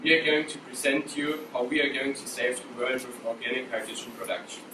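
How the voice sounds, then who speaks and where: A young man from Germany pitches an idea with upbeat energy. He sounds distant and echoey, with noise in the background. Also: little pitch movement, fairly quick. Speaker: student presenter. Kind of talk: presentation.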